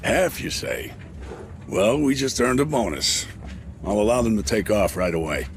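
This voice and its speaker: An actor playing a brusque, cynical space station administrator in a video game, curt and authoritative. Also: male voice, on the low side, sing-song, normal speed, faint music behind. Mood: dismissive. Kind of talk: dramatic video game dialogue.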